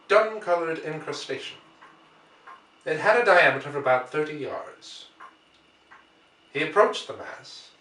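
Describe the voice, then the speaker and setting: A man narrating a classic novel as an audiobook in a measured storytelling manner. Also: on the high side, sharp tone. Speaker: audiobook reader. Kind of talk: scripted literary narration. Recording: echoey room, distant from the mic.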